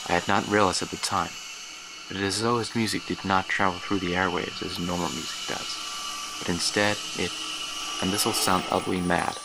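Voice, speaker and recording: Haunted, sleepless male scholar, horror audio drama. Deep, flat, measured delivery, brooding and literary. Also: background noise. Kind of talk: dramatic first-person horror monologue.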